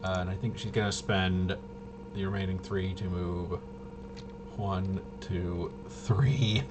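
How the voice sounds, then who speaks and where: A man's voice: deep voice, level tone, takes it slowly, background noise. A man casually walking through tabletop gameplay, thinking aloud and hesitantly muttering to himself as he works things out. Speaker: solo tabletop RPG player and presenter.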